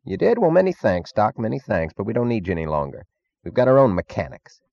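A man's voice: lowish voice, wildly swooping pitch, quick pace, gravelly, muffled recording, exaggerated voice. A man voicing a drunken, swaggering thug boss in an audiobook, belligerent and sneering with slangy bluster. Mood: drunken defiant bluster. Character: drunken swaggering thug boss, loud, defiant, secretly scared. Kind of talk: audiobook character acting.

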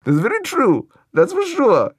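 A man's voice: very high-pitched, huge pitch swings, a little slow, breathy edge, no echo, studio quality, heavily acted. A man voicing a jovial old Buddhist teacher. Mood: amused. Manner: questioning, searching, thoughtful delivery. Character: older male Buddhist teacher, humble, jovial, earnest. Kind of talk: audiobook dialogue reading.

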